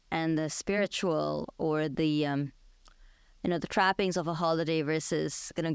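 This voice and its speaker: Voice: mid-range voice, clear, resonant tone, no room sound, clean studio sound. A radio host who leads a thoughtful, conversational discussion.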